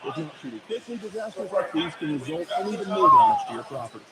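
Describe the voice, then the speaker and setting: A man reads scripted radio commercials in a fairly high, animated voice. Loud crowd noise sits behind his announcer delivery. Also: fast talker.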